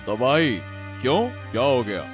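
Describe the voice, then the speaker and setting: A man voicing a calm, knowing village doctor in a cartoon. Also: mid-range voice, a little slow, music in the background. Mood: calm, concerned. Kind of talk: Hindi cartoon dialogue.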